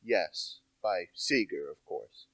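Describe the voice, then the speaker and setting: A man's voice: low-pitched, animated, slow, fairly bright tone, no echo, exaggerated voice. Young man playing emphatic, cocksure amateur sleuth. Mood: smug. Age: teenager to young adult. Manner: emphatic, self-assured. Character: insistent, cocksure amateur detective gentleman. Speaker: actor. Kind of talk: amateur radio play acting.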